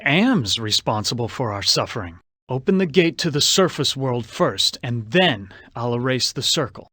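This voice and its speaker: A man's voice: medium pitch, a bit bright, no room sound, clean studio sound. A man playing a suave, vain, anguished adventurer in a gothic horror video game, speaking in a refined, theatrical way. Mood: somber, bargaining. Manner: theatrical, refined, slightly haughty.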